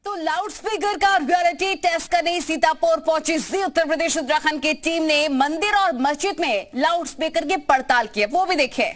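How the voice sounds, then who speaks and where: A woman's voice: sits high, normal speed, hardly any pauses. A woman anchoring a live television news programme.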